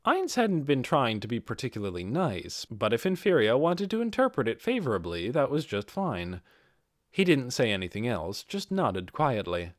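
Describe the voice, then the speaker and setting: A man's voice: mid-range voice, huge pitch swings, normal speed, no room sound, studio quality. A man reading fantasy fiction aloud as an audiobook narrator, in a scripted storytelling style.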